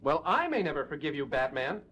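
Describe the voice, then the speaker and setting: An actor playing an eager, excitable teenage sidekick in a 1970s superhero audio drama, earnest and exclaiming. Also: male voice, on the high side, brisk, slight background noise. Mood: curious.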